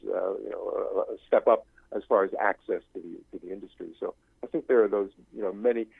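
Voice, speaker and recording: An older man giving an unscripted interview as a guest professor, halting and searching, often circling back over his phrases. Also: sits low, fairly even intonation, creaky, breathy edge, dry recording. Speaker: guest, screenwriting professor and writer. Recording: thin phone-like line.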